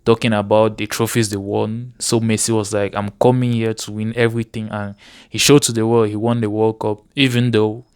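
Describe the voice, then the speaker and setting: A man's voice: fairly low pitch, little pitch movement, moderate pace, a little mellow, clear, resonant tone, no room sound, studio quality. A man, chatting casually about sport as a guest in an interview. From Cameroon.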